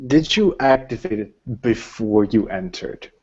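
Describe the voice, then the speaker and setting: Slow, low-voiced man running dice rolls. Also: animated, dark tone, breathy edge, muffled recording. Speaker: tabletop roleplaying game master or player rolling combat. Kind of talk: casual game talk, counting dice and explaining rules.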